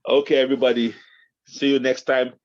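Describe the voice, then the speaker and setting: A man's voice: mid-range voice, fairly quick, no room sound, dull audio. A Nigerian-born man whose first language is Yoruba, co-hosting a motivational talk show with preacher-like build-ups. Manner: animated, preacher-like build-ups, bursts into shouting. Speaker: podcast co-host.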